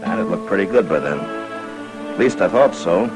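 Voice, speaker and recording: Deep-voiced, laconic western marshal. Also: male voice, normal speed, music in the background, low-quality recording. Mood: reflective narration. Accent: American. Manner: laconic, steady. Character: stern, dry-humored male frontier US marshal. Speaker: lead actor and narrator. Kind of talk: old-time radio western acting and narration.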